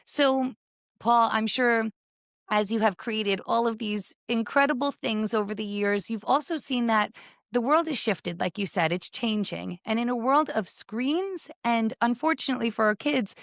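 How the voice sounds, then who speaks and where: A woman's voice: on the high side, normal speed, a bit bright, dry recording, clean studio sound. A woman hosting a podcast in a conversational style, speaking earnestly and affirming her guest. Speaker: podcast host. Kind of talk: conversational interviewing.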